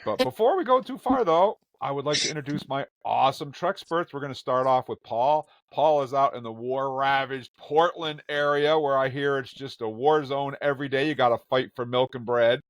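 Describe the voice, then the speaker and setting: A man's voice: mid-range voice, a little fast, few pauses, no room sound. A man hosting a casual podcast, introducing his co-hosts with an upbeat, jokey manner. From Vermont. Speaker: podcast host.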